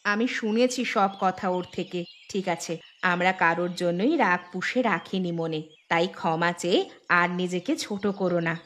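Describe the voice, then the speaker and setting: A woman's voice: lowish voice, a little slow, fairly bright tone. Composed, forgiving cartoon village woman voiced by an actress. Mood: calm, forgiving. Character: calm, forgiving village sister-in-law, gently reasonable. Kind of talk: cartoon story dialogue.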